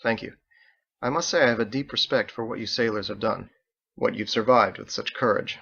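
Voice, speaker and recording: A man's voice: fairly low pitch, gravelly, fairly bright tone, breathy edge, full character voice. Man acting an earnest, formal paladin leader, muffled recording. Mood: confiding. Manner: formal, measured, courteous phrasing.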